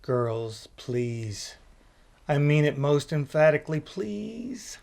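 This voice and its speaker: A man's voice: a little high, very animated, slow pace, darkish tone, exaggerated voice. A man playing the ghost of a witty male literature professor in a comedy-drama web series, with wry, theatrical phrasing. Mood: exasperated. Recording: roomy echo, clear background noise.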